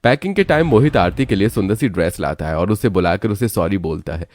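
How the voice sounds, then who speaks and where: Male narrator for animated family tales, low register, scripted storytelling delivery. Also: fairly quick.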